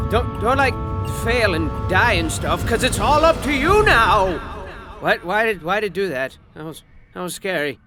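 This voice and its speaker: A man playing an excitable, goofy storyteller who narrates a comedy video game in a theatrical, mock-dramatic way, rambling into asides. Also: very high voice. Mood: mock-grave, dramatic, then startled. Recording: music behind the voice. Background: music.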